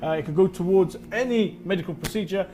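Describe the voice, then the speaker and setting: A man's voice: high voice, fast talker, warm tone. A man whose first language is Urdu, co-hosting a live charity broadcast and running through donation packages with frequent fillers. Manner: listing items with frequent fillers. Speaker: host. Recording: music in the background.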